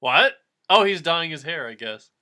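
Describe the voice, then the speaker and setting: A man's voice: a little high, big pitch swings, normal speed, sharp tone. A man in casual comic chat, incredulous and nitpicking, stammering as he comments on a story reading.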